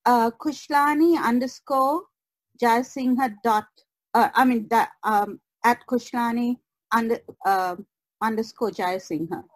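A woman with a lightly high-pitched voice talks reflectively as a podcast guest. Her delivery is very slow and halting, often pausing as she searches for the right word. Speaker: guest artist. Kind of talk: reflective podcast conversation over video call. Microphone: video call.

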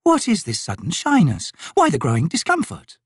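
Grand, flirtatious fantasy sorceress as a male narrator plays her, imperious and lilting, high, very animated delivery. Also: normal speed, slightly rough, dry recording, clean studio sound, full character voice. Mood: probing. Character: haughty, teasing noble sorceress, female, flirtatious and grand.

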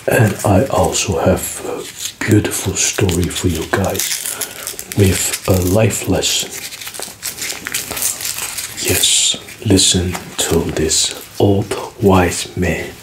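A man whispering a story softly for an ASMR video, with gum-chewing clicks behind him. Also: sits low, fairly even intonation. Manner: soft whispering, slow and deliberate. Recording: echoey room with background noise.

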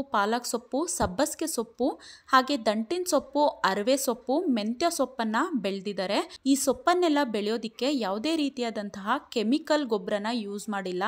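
A young adult woman, giving steady voice-over narration of a family vlog and explaining each scene. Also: a little high. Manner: steady, explanatory, mixes English words into Kannada. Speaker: vlogger.

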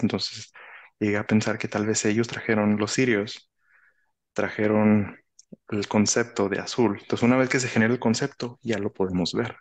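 A man in his thirties with a Mexican Spanish accent, explaining casually and reflectively, often halting and repeating phrases, on a headset in a video call. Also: lowish voice. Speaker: author, interview guest. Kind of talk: casual conversational explaining with anecdotes. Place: indoor room, video call.

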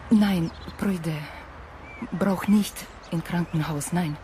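An actress playing a gentle, weary Ukrainian immigrant woman in a radio drama, speaking halting, broken German in a husky voice. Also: fairly low pitch, a little hiss or hum. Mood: weary, sad. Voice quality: husky, breathy voice. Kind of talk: naturalistic radio drama acting.

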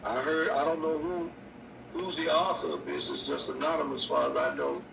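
An older man, a bishop preaching a sermon on his radio show, slow and repetitive with stammered restarts. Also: a little high, huge pitch swings, gravelly. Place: indoor room. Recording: echoey distant mic with noise.

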